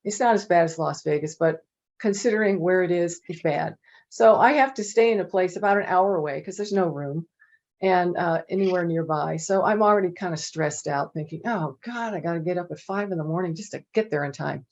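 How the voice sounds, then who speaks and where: A middle-aged woman on a video call speaks in a fairly low voice and tells stories in a chatty, animated way, with plenty of fillers. The sound is muffled and lo-fi. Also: a little fast. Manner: chatty, animated, many "you know" fillers. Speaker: panel presenter. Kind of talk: casual anecdotal storytelling. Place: home office with bookshelves. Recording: dull lo-fi video call audio.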